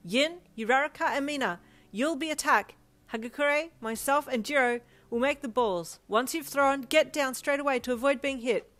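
A woman's voice: high voice, moderate pace, a bit bright, pitched above the performer's own voice. A woman voicing an organised teenage girl who gives urgent orders with a raised voice in a story. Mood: commanding.

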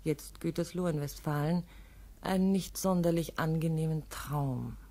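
A woman's voice: low voice, unhurried, dark tone. A woman narrating a radio play interlude in a dry, slightly ironic tone.

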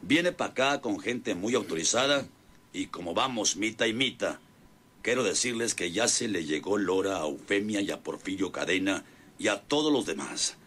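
A man's voice: fairly low pitch, lively intonation, normal speed, a bit bright, slight background noise. A man acting a boastful, bossy ranch boss in an old-fashioned radio drama, with a rural northern Mexican accent and folksy, domineering manner. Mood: gloating.

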